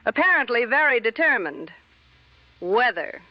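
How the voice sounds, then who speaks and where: Lady doing a deadpan old-time radio co-host, composed and witty, lively pitch, slightly dull lo-fi sound. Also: a little slow, dry recording. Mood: deadpan, wry. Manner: deadpan, wry understatement. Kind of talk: 1960s radio broadcast acting.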